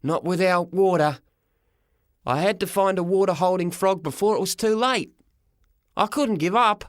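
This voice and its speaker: A man narrating a first-person story for an audiobook, dramatic and expressive, in short measured phrases. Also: sits high, dry recording, clean studio sound.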